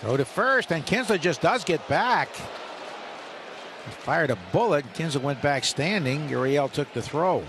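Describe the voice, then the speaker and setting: A man's voice: on the high side, animated, a little slow. Man calling baseball over ballpark noise. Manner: rises sharply on live action calls. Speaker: play-by-play announcer. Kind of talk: radio baseball play-by-play. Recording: radio broadcast with loud ballpark noise.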